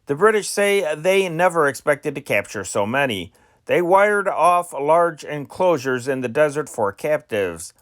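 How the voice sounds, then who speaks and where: A man reading wartime newspaper reports aloud as an amateur newsreader, phrase by phrase in a deliberate manner, with an occasional stumble. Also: fairly high pitch, sing-song, a bit bright, dry recording, clean studio sound. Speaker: amateur news reader and channel host.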